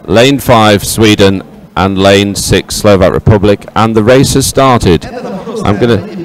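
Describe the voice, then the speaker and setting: A man giving measured, explanatory live sports commentary on a race. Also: fairly low pitch, soft background music, a faint crowd.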